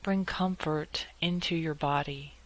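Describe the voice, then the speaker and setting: Very slow-paced woman guiding meditation. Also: deep voice. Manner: calm, measured delivery with long pauses. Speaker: meditation guide. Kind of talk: guided meditation.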